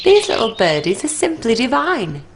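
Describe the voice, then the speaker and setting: A woman's voice: fairly high pitch, sing-song, normal speed, slight background noise, pitched above the performer's own voice. A woman voicing a carefree, stubborn little girl in a children's storybook, cheerful and defiant. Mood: cheerfully defiant.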